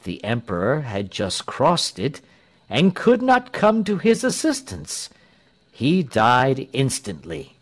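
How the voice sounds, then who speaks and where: A man reads the part of a grave storybook pastor who bears momentous news. The delivery is very measured and portentous, slow, with pitch that rises and falls dramatically. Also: wildly swooping pitch, heavily acted. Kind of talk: storybook reading.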